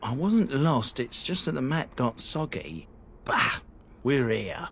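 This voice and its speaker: Animated, chatty, uneasy merchant guide. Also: male voice, mid-range voice, slow, creaky, husky, heavily acted. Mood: awed, defensive. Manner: garrulous, informal. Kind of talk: fantasy video game dialogue.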